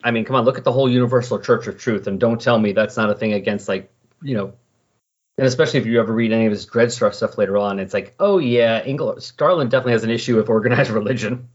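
A man with a slightly raspy voice talks in a loose, conversational way. He rambles casually, like someone co-commentating on a hobby podcast. The recording is a little dull and lo-fi. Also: medium pitch, fast. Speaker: podcast co-commentator on comic books. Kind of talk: casual chat, recounting comic book plots.

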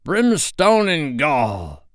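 A man voices a bold, cheeky boy from a storybook, mocking a pirate captain. The pitch is raised sharply and swoops about, every phrase set out with relish. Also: huge pitch swings, very slow, no echo, studio quality, exaggerated voice.

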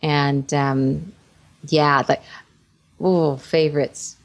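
A woman's voice: sits low, level tone, extremely slow. A woman voice actress chatting playfully in a casual interview, laughing often. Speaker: voice actress, guest.

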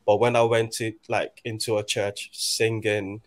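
Low-voiced reflective man in his twenties. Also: level tone. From Nigeria. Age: 20s. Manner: reflective, halting, many restarts. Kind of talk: casual conversation.

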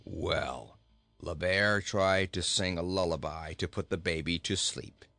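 A man voicing a surly talking goat who grumbles with sarcastic, deadpan scorn in a storybook reading. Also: low-pitched, measured pace, no echo, faint music behind, pitched below the performer's own voice, full character voice. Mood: dry, mocking. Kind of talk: storybook character voice.

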